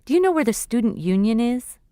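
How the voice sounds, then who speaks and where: An actress playing a cheerful young female university student in a scripted textbook dialogue, speaking clearly and expressively. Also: a little high, sing-song, brisk, warm tone, dry recording, clean studio sound. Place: recording studio.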